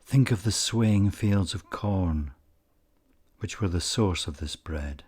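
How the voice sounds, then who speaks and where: A man leading a guided meditation in a calm, measured way with frequent pauses, his husky voice softening at the ends of phrases. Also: deep voice. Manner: calm, measured, pausing often. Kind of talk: slow guided meditation narration.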